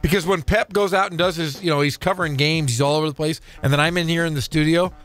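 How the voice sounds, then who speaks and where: A man's voice: fairly high pitch, fairly even intonation, fast talker, fairly bright tone, faint music behind. A man co-hosting a sports radio show, joking and teasing in casual studio banter. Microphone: studio boom mic.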